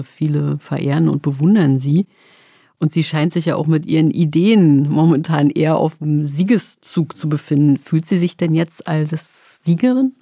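Eine Moderatorin mit warmer, dunkler Stimme. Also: female voice, low voice, vocal fry, husky, no room sound, studio quality. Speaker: podcast co-host. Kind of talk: scripted hosting and questions.

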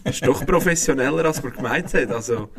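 A man co-hosting a casual podcast in Swiss German, chatty and animated. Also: medium pitch, a little fast, gravelly. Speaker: podcast co-host. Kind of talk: casual chat.

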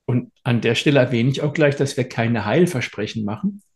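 Middle-aged man, therapist guest, chatty and hesitant, average pitch. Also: lively intonation, brisk. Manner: hesitant, many filler sounds, anecdotal. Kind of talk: casual storytelling in an interview.

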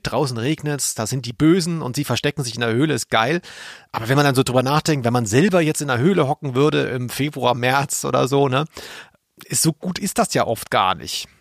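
A man's voice: medium pitch, no echo, clean studio sound. A man chatting casually and wryly as a podcast co-host. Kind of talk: casual chat.

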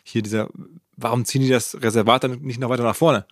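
A man's voice: medium pitch, fairly even intonation, fast talker, no echo, studio quality. A man interviewing in a conversational way, probing and thinking aloud as he rephrases his questions. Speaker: podcast interviewer.

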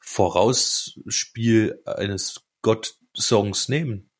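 Enthusiastic podcast guy, husky voice, chatting real casual with his buddies. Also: lowish voice, drawn-out words. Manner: colloquial, enthusiastic. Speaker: podcast co-host. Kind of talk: casual chat among friends.

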